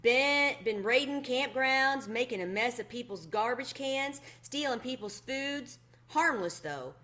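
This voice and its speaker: A woman's voice: high voice, lively intonation, a little slow, bright, forceful tone, pitched above the performer's own voice, full character voice. Woman voicing a folksy, dismissive older park ranger. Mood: amused, folksy. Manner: folksy, casual, shrugging.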